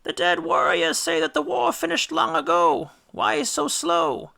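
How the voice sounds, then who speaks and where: A man voicing the mournful ghost of an aged mother in a thin, high, plaintive falsetto for a storybook. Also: very animated, a little slow, vocal fry, pushed and bright, heavily acted. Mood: reproachful, fretful. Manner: plaintive, questioning.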